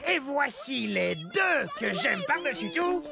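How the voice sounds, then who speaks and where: A woman's voice: sits high, sing-song, slow, gravelly, full character voice. A woman voicing a boastful, quirky dinosaur parent in a children's cartoon, theatrical and emphatic. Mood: playful, boastful. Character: boastful quirky Dilophosaurus parent obsessed with number two. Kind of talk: children's cartoon character monologue. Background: music.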